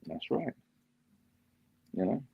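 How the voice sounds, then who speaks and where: A man appearing as a guest on a live stream, chatting casually and explaining things at length with easy humor. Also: slow pace. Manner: chatty, explains at length, laughs at his own jokes. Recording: dull, dry home stream audio.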